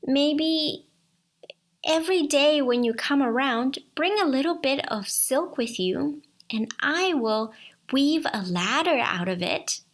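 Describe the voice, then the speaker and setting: Woman as a high-voiced gentle young maiden. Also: animated, takes it slowly. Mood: earnest, hopeful. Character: gentle young maiden, willing but hesitant. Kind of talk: bedtime fairy tale acting.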